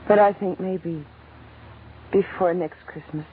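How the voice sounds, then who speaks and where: A woman's voice: lowish voice, steady tone, takes it slowly, slight background noise. Wistful young woman, old muffled radio recording. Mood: hopeful. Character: weary, wistful young nightclub woman with a hidden past. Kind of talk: 1940s radio drama acting.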